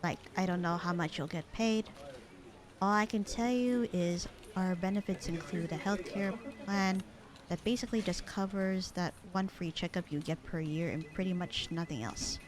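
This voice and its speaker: A woman acting a low-voiced, bored sarcastic secretary. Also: normal speed, crowd noise, natural voice. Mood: dry, indifferent. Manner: deadpan, dry, teasing. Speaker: voice actor in audio roleplay. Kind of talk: ASMR roleplay acting, casual one-sided conversation.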